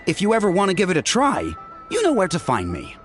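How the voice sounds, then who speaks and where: A man's voice: high-pitched, huge pitch swings, fast, soft background music. A man playing an easygoing, contented young balloon operator in a video game, casual and earnest. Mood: friendly.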